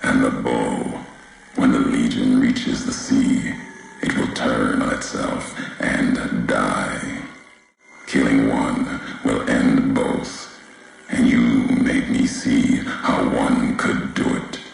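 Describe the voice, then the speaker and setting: Brooding bitter ex-soldier courier for a video game, super gravelly, talks slow n solemn, big echo. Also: male voice, little pitch movement, full character voice. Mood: brooding, ominous. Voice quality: very deep, gravelly. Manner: solemn, cryptic, deliberate phrasing with heavy pauses. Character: brooding, embittered male courier and former Legion soldier. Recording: roomy echo, faint background noise.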